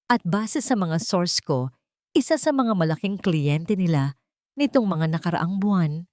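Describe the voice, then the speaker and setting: A woman's voice: on the low side, warm tone, dry recording. Woman reading as businesslike male investigator, dry sound. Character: male private investigator, businesslike and deferential to boss.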